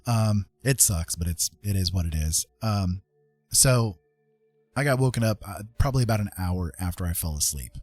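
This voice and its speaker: A man's voice: deep voice, expressive, moderate pace, a little mellow, no echo. A man hosting a livestream, telling a personal story in a casual, rambling and informal way. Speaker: livestream host.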